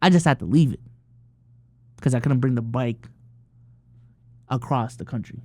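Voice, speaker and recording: A man's voice: medium pitch, lively intonation, normal speed, a little mellow, dry recording, clean studio sound. A man in his early twenties from the Philippines, chatting casually on a livestream and answering viewer questions in a loose, profane way full of fillers. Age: early 20s. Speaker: streamer.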